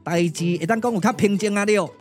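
A man's voice: high voice, fast, darkish tone, soft background music, natural voice. A man with a Taiwanese accent voicing a cheeky, boastful, streetwise sworn brother, chatty and colloquial, in a puppet-theatre radio drama. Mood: breezy, boasting. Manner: chatty, colloquial, full of interjections.

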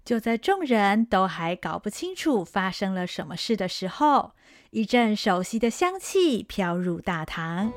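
A woman's voice: medium pitch, very animated, measured pace. A woman narrating a historical radio drama in a vivid, dramatic storytelling style.

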